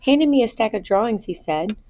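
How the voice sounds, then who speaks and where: A woman reading a children's story aloud in an expressive, deliberate storytelling manner. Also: fairly high pitch, noisy background. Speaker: storybook reader. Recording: boxy roomy sound, dull lo-fi.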